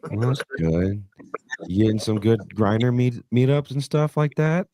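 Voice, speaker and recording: A man hosting a podcast in brash, profane banter, his voice hoarse and raspy after being sick. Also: on the low side, moderate pace, mellow, dry recording. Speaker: podcast host. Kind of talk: casual crude banter.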